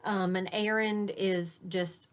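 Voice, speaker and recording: A woman's voice: medium pitch, steady tone, slow pace, clear, resonant tone. Woman reading a picture book to children. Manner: simple explanatory asides to young listeners. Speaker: teacher reading aloud. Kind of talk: picture book read-aloud with explanations for children.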